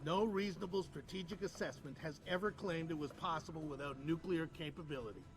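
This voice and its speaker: An actor playing a blunt, hawkish military advisor who speaks forcefully in a game cutscene. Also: male voice, on the high side, a little fast, lightly acted. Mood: insistent. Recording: game soundtrack with music underneath.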